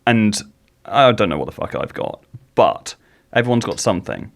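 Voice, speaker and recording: A man's voice: lowish voice, sing-song, normal speed, vocal fry. A man hosting a podcast, talking bluntly in a casual interview and swearing casually. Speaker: podcast host.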